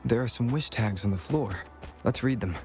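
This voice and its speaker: A thoughtful young man voiced by an actor, mid-pitched and calm. He speaks like an observant scholar in game dialogue, with clear music playing behind him. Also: normal speed, natural voice. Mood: calm, pensive. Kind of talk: video game voice acting. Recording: music underneath.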